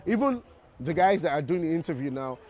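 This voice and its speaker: Nigerian male actor, interview guest, a bit high-pitched, thoughtful, repeats himself as he thinks. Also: lively intonation, a little fast, darkish tone. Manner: reflective, restarts and repeats words while explaining. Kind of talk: interview answers.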